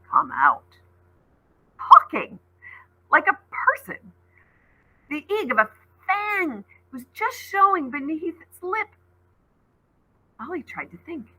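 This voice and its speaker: A woman's voice: medium pitch, sharp tone, a little hiss or hum, poor audio. A woman teacher reading a story aloud dramatically, with conversational asides to explain it.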